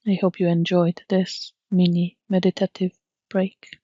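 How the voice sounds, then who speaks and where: A woman leading a guided mindfulness meditation in a gently instructive, unhurried manner with long pauses. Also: fairly low pitch, flat delivery, dry recording, lo-fi sound.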